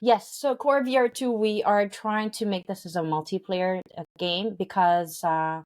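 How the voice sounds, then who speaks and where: A woman's voice: medium pitch, fairly even intonation, a little slow, darkish tone. A woman, a company founder, talking in an interview, explaining earnestly with many fillers and restarts. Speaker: founder of a language game company, guest. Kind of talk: interview conversation.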